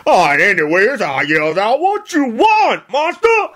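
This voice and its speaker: A woman's voice: mid-range voice, very animated, normal speed, fairly bright tone. A woman narrator voicing an irritable, boastful old uncle telling tall tales in rustic, ungrammatical speech for an audiobook. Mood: gleeful.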